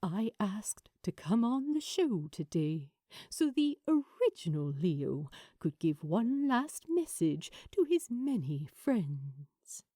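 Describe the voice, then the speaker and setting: Woman as a hesitant, gentle gentleman entertainer. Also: mid-range voice, big pitch swings, no room sound, studio quality. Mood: soothing, sad. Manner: hesitant, measured, polite. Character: gentle, anxious male children's-show voice actor, well-mannered.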